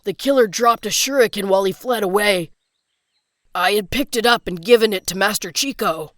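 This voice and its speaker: A woman playing a polite, nervous tavern server in an animated story set in old Japan, deferential and hesitant. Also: mid-range voice, normal speed, dry recording, clean studio sound, lightly acted. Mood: earnest. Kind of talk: dramatized animated bedtime story. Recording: loud music under the voice.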